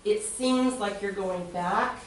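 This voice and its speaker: A woman's voice: medium pitch, takes it slowly. A woman stands up during public comment at a council meeting and speaks earnestly, with frequent hesitations. Her voice comes from well away from the microphone, echoing heavily around the chamber under a faint layer of noise. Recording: echoey chamber, distant mic.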